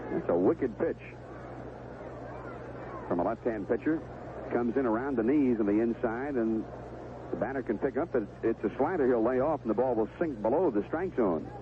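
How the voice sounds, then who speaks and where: A man's voice: medium pitch, phone-quality sound, background noise. An American man calling baseball play-by-play in a live radio commentary style, on an old 1970s broadcast. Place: stadium broadcast position.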